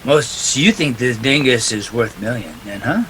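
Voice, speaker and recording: A man's voice: medium pitch, animated, moderate pace, fairly bright tone, slight background noise, close to an everyday voice, rough recording. A man playing a tough, wary private detective in a 1940s-style radio play, talking in a terse, slangy, hardboiled way. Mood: wary, shrewd.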